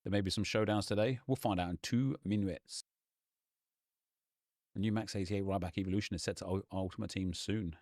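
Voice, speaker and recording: Slightly hoarse man, dry close mic. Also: low voice, steady tone, a little mellow, dry recording. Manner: chatty, ranting, quick asides. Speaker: gaming YouTuber and streamer. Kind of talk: casual gaming commentary.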